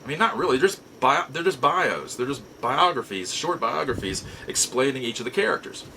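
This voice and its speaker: A middle-aged man with a bright, forceful voice at a medium pitch tells a personal story as the host of his own show. He is folksy and conversational, circling back to repeat and reword things. Faint noise sits behind the voice. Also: expressive, a little fast, low-quality recording. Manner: folksy, rambling, repeats and rephrases himself. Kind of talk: casual personal storytelling to camera.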